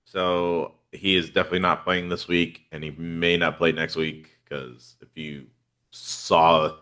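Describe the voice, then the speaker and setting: A man's voice: deep voice, level tone, unhurried, bright, forceful tone, clear, resonant tone. A man with a head cold, hosting a casual football podcast in a laid-back, rambling way with lots of fillers. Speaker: podcast host. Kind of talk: casual fantasy football chat.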